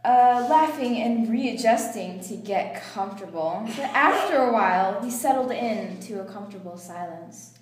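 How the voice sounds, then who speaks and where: A woman's voice: mid-range voice, animated, normal speed, few pauses, a crowd in the background. A young woman giving a playful, giggly dramatic reading of romantic fiction. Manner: playful, often breaking into giggles. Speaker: reader in a water-spitting challenge. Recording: noisy, echoey room with clicks.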